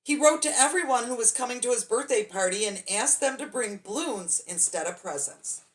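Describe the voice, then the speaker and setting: High-voiced woman reading a bedtime story. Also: lively intonation, normal speed. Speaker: children's storyteller.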